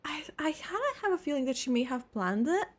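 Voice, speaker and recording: A woman's voice: sits high, animated, a little fast. A woman giving casual live reaction commentary while playing through a video game story.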